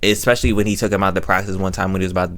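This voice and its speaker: A man's voice: deep voice, level tone, rapid-fire, fairly bright tone. A man giving a casual, slangy review commentary, rambling, repeating his phrases and correcting himself as he goes. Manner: slangy, informal. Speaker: review channel host.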